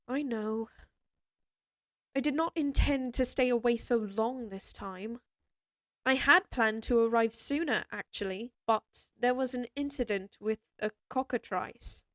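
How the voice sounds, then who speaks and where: A woman's voice: fairly high pitch, measured pace, dry recording, clean studio sound, lightly acted. A woman voicing a proud, headstrong young lady knight in a fantasy audio roleplay, with spirited, formal speech. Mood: contrite. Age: young adult.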